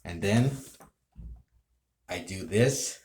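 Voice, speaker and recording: A man telling an improvised story casually to camera, in a halting, rambling way that often breaks off. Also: low voice, animated, a little mellow. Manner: halting, rambling, self-interrupting. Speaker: amateur role-play video creator.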